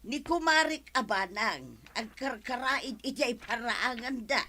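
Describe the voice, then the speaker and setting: A woman with an Ilocano accent playing a brash, nosy, defiant village wife in a radio comedy drama, with forceful delivery. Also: on the high side, lively intonation, unhurried, slight background noise.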